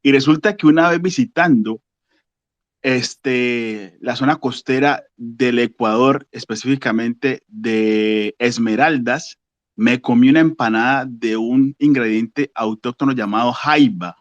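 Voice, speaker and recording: A man in his forties from Colombia, speaking slowly and thoughtfully with pauses and fillers as a podcast guest. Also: mid-range voice, no room sound, dull audio. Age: 40s. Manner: slow, thoughtful, pausing with fillers. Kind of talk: casual podcast chat.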